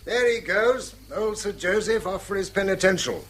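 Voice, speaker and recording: A man's voice: sits high, animated, moderate pace, fairly bright tone, husky, full character voice. A man playing a wry, needling, unworldly brother in a radio drama. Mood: wry, ironic. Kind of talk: British stage-style radio drama acting.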